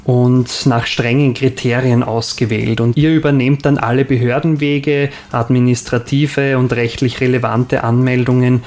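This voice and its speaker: A man hosting a radio interview, asking slow, halting questions with small fillers. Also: medium pitch, level tone, a little mellow. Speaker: radio host.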